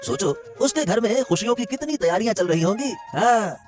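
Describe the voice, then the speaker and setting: A placating household servant played by a man with a very animated, swooping delivery. He is chatty and excitable, waving away worries in cartoon style over music. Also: high-pitched, fairly quick. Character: meddling, placating male servant of a rich household. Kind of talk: Hindi cartoon story dialogue.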